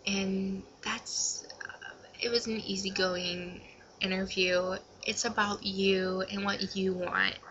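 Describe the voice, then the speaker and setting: A young woman chats casually to her viewers in a very bright, forward voice. Her pace is a little slow and her delivery rambles informally, with some self-consciousness. The recording is dull and lo-fi. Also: mid-range voice, slight background noise. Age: young adult. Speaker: vlogger. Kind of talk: casual advice vlog to camera.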